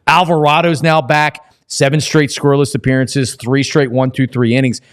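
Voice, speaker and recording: Man, medium pitch, sports show host, casual interview talk, opinionated. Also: little pitch movement, moderate pace.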